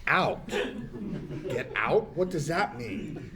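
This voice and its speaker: An actor at a table read playing a childlike, easily confused office worker with halting, puzzled speech. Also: male voice, on the high side, animated, crowd noise. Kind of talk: live comedy script table read. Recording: faint noise, live room table read.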